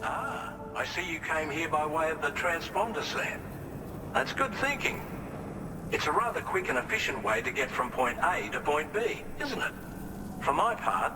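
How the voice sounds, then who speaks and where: A man's voice: fairly high pitch, close to an everyday voice. Actor as a genial, refined man, distant and reverberant. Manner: chatty, courteous, tag questions. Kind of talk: video game cutscene dialogue. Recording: distant, reverberant room with clear noise.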